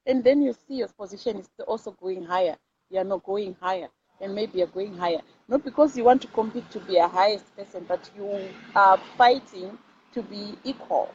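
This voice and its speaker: A muffled, low-fidelity recording with noticeable background noise carries a woman with a Zimbabwean accent. She hosts an informal motivational talk, addressing viewers directly and emphatically. She often repeats a phrase to drive it home. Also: moderate pace, a little mellow. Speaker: host of live videos. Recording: dull lo-fi audio with clear background noise.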